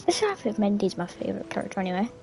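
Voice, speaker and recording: Female gamer, medium pitch, casual rambling commentary, faint music behind. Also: big pitch swings, very fast, creaky. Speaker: gamer playing through a quest. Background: faint game music.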